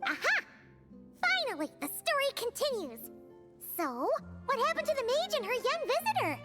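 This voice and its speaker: Game fairy sidekick: very high, squeaky, hugely animated, childlike and eager, loud music underneath. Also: female voice, normal speed. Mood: excited. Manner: eager, exclamatory. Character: small, excitable childlike fairy companion girl. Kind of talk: video game voice acting.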